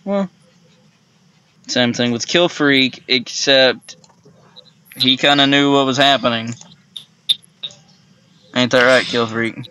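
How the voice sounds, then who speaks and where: A man co-hosting a storytelling series, casually improvising as he goes, on a slightly muffled low-fi microphone. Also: slow, long pauses, bright, forceful tone, clear, resonant tone.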